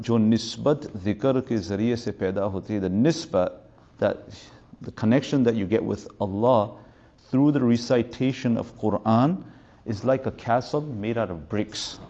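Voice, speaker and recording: A man's voice: mid-range voice, warm tone, muffled recording. A man of fifty giving an informal religious lecture as a teacher, rambling through anecdotes and mixing in Arabic and Urdu terms. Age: 50s.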